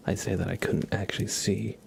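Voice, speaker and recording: A man chatting casually on an ASMR livestream in a soft, often whispered voice, relaxed and rambling, close to the microphone. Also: fairly low pitch, fairly quick, gravelly, slight background noise. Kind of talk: ASMR, casual chat with viewers.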